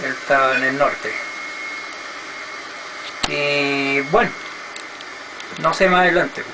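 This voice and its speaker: A man's voice: on the high side, takes it slowly. A middle-aged man chatting casually and giving call signs on amateur radio. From Chile. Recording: noisy amateur radio satellite contact.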